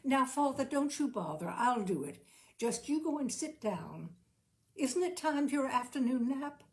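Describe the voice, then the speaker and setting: Female reader as bossy housewife, brisk, patronizing, creaky voice, storybook dialogue. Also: high voice, sing-song, pitched above the performer's own voice, full character voice. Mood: brisk, dismissive. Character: brisk, managing younger housewife humoring her father-in-law.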